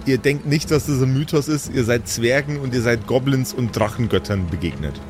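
A man's voice: mid-range voice, brisk, over music. A man game mastering an improvised tabletop session in casual, slangy talk with dry exaggeration. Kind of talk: casual improvised tabletop role-play banter.

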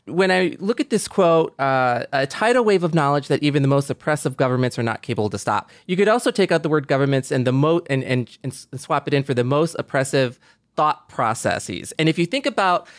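A man in his forties talking earnestly and optimistically in a reflective podcast conversation, often halting to rephrase. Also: a little high, animated, no room sound. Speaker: podcast panelist.

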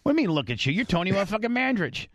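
A man's voice: sits high, sing-song, rapid-fire, a bit bright, no echo. A man hosting a casual interview, talking bluntly with plenty of swearing and jokes. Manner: profane, blunt, joking. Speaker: podcast host.

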